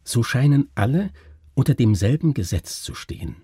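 A brooding, oversensitive man, acted out by a male reader, confides uncanny thoughts in a very husky, dark voice. He searches intensely for words, with big swings in pitch, as in a dramatic storybook reading. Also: lively intonation, normal speed, no echo, clean studio sound. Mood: uneasy, searching.

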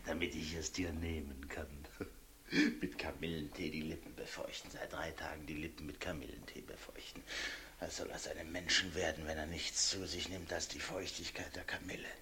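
An actor playing a fawning, morbidly affectionate executioner in a German radio play, chatty and theatrical, muttering and gushing by turns. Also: male voice, very deep voice, level tone, moderate pace, pushed and bright, heavily acted. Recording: noisy old radio recording with clicks.

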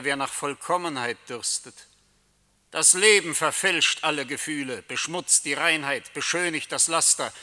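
Death as a grand solemn coachman, radio drama, very sharp voice, big long reverb. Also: a little high, heavily acted. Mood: lofty, solemn. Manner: solemn, declamatory, deliberate. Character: Death personified, solemn, majestic male coachman come to collect souls. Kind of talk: German radio play acting. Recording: long reverberant hall echo.

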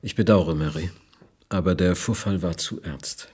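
Stiff, moralistic male superior, radio drama: very low, rough-edged, formal, measured. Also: a little mellow. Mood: stern, cold. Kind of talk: German radio play acting.

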